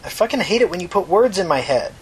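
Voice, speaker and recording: A man's voice: sits high, sing-song, fast talker, breathy edge, voice pushed up, heavily acted, poor audio. A man voicing a blunt, slangy, streetwise teenage runaway girl in a fiction reading. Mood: exasperated.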